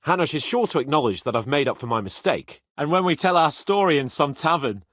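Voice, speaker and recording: A brash young nobleman with a British accent. His delivery is haughty yet comradely, swinging widely in pitch with theatrical, teasing flair. He sits fairly high for a man, in a dry recording with no room sound. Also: expressive, fairly quick, fairly bright tone, no echo. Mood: confident, eager. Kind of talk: medieval video game voice acting.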